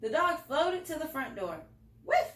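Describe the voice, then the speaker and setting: A woman with a high-pitched, very lively voice reads a children's picture book aloud. She is expressive and pauses for small asides to young listeners. The sound is roomy and echoing. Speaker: library storytime reader.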